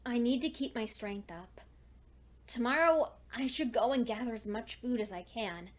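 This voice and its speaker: High-voiced, anxious, studious pony played by a woman. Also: normal speed, natural voice. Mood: weary, uneasy. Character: lonely studious female pony, anxious diarist clinging to hope. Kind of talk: dramatic fan fiction reading, diary entries.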